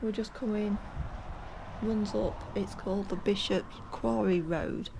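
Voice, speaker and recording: A woman's voice: mid-range voice, fairly even intonation, measured pace, vocal fry, noisy background. A woman narrating a casual walking tour, chatty and hesitant with plenty of ums, at times out of breath from climbing. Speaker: walking vlogger. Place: outdoors on a hillside.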